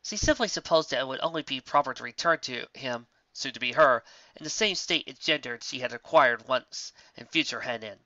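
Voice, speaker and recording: A man narrates a fan story with expressive storybook delivery. His voice is bright and forceful, recorded very dry and close, with a slightly dull top end. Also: medium pitch, brisk, dry recording.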